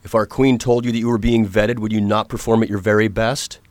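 Man acting undercover agent, radio play, formal, composed, lowish voice, dry. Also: flat delivery, fairly quick, darkish tone, no room sound, natural voice. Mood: firm. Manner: composed, formal period diction. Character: male undercover agent, calm and resolute. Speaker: narrator and lead. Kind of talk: radio drama narration and acting.

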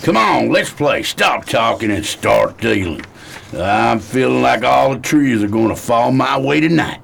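Gravelly young American cowboy, played by a man. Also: mid-range voice, lively intonation, a little slow, fairly bright tone, a little hiss or hum, exaggerated voice. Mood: impatient, cocky. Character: brash young cowboy gentleman from the American West. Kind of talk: radio play acting.

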